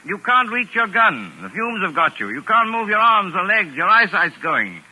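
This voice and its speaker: A pompous, unflappable male novelist in a 1940s radio mystery. His voice is very bright and forceful, with lively swings of pitch. He declaims theatrically, as on an old broadcast. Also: normal speed, heavily acted, low-quality recording. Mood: triumphant, taunting.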